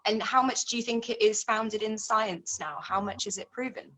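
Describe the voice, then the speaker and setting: A woman's voice: a little high, normal speed, a bit bright. A woman interviewing in a casual, informal way, explaining things and steering the conversation as host.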